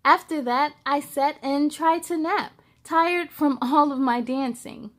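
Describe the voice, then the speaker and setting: A woman with a high voice reads a children's story aloud. She takes it slowly and expressively, like a host who puts feeling into each line for young listeners. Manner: slow, expressive delivery with playful asides.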